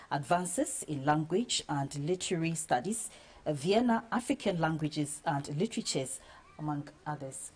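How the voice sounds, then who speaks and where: Woman, TV interview host, low voice, husky. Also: a little mellow. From Ghana. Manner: reads a scripted introduction, then asks short questions. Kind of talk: broadcast TV interview.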